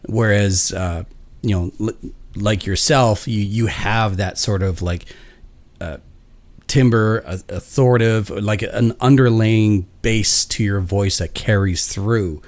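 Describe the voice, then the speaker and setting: A man's voice: lowish voice, takes it slowly, darkish tone. A man hosting a casual interview, giving short affirmations and loose, meandering remarks. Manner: attentive, brief affirmations, rambling compliments. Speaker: podcast host.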